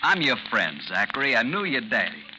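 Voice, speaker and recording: An actor playing a protective friend and former army officer, earnest and insistent, in 1950s radio drama style. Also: male voice, medium pitch, big pitch swings, brisk, natural voice. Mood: pleading, firm. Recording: noisy, sizzling 1950s radio recording.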